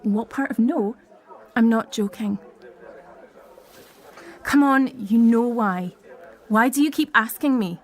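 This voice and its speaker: Prickly woman, high-pitched, sardonic, blunt, exasperated, natural radio-play delivery. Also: takes it slowly, pauses often. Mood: angry, insistent. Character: prickly, sardonic Scottish woman wheelchair user named Elsa. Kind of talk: naturalistic audio drama acting.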